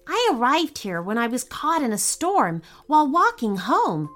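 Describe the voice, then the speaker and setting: Woman doing a calm thoughtful kid, reasoning it out, bouncy pitch. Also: moderate pace, soft background music. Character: calm, thoughtful young schoolboy.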